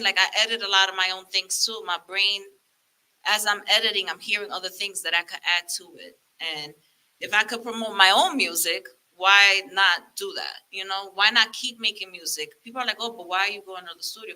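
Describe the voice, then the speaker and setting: A woman's voice: medium pitch, brisk, rough recording. A Dominican woman, a comedian and rapper, chatting animatedly as a guest and slipping into Spanglish. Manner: animated, laughing, mixes Spanglish. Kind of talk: casual interview chat.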